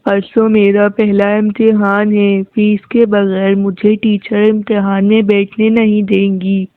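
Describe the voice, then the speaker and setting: A performer voicing an earnest, worried young schoolboy in a cartoon moral story, pleading in a deliberate way. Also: medium pitch, completely flat delivery, no echo, natural voice. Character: earnest, worried young schoolboy son of a poor samosa seller. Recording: thin, phone-like, dry audio.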